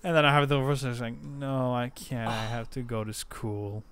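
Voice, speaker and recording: A young man co-hosting a film podcast, talking casually with bursts of excitement and some swearing. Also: mid-range voice, moderate pace, a crowd in the background. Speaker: podcast co-host. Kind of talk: casual chat.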